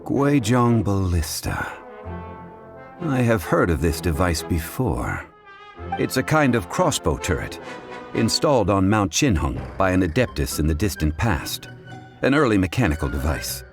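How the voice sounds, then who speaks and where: A composed, learned gentleman from a video game. The low voice is formal and measured yet varied in intonation, courteous with a wry edge. Music sits faintly behind. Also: very animated, exaggerated voice. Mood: thoughtful, composed. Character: composed, erudite gentleman consultant, courteous and unhurried. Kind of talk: scripted video game dialogue. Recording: game dialogue over background music.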